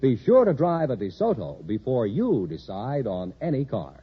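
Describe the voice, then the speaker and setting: An American man reads a mid-century radio advertisement with polished emphasis. His voice is very husky and swings widely in pitch. The recording is slightly muffled, like an old broadcast. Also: wildly swooping pitch, normal speed. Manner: polished, emphatic sales delivery. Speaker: commercial announcer. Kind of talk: 1950s radio commercial announcing, scripted.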